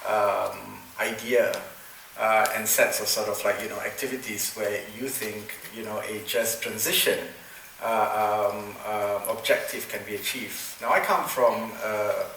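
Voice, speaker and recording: A man's voice: lowish voice, fairly even intonation, measured pace, gravelly, a bit bright. Malaysian-raised guy talking on a panel, lots of ums and you knows, echoey room, mic far off, noisy. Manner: thoughtful, many fillers and restarts. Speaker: program director of a forum. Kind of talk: panel discussion answer. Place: conference room.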